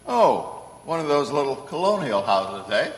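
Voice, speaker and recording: A man playing a kindly, jolly old Santa Claus figure in an old-time radio play, gentle and avuncular. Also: mid-range voice, big pitch swings, a little slow. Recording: echoey hall, faint noise.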